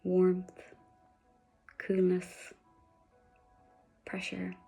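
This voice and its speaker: Woman meditation guide, soft-spoken with breathy whispers, dark flat delivery. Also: on the low side, extremely slow, soft background music.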